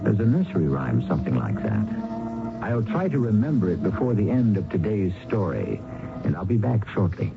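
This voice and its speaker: Male radio mystery host, theatrical and animated, dull vintage recording with music clearly behind him. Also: lowish voice, moderate pace. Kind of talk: scripted radio drama hosting.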